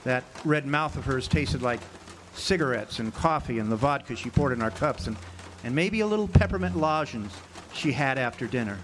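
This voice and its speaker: An actor playing a world-weary drifter, narrating a hardboiled radio drama in a wry, hesitant way. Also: male voice, mid-range voice, animated, normal speed, natural voice. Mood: smoldering, wry. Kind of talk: noir-style radio drama narration and dialogue. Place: outdoor amphitheater stage. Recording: noisy outdoor live recording with birdsong.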